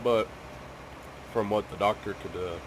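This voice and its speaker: A man's voice: lowish voice, unhurried, background noise. A man who is sick with a cough, chatting casually to his viewers at home in a rambling way, full of ums and restarts. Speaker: gaming YouTuber.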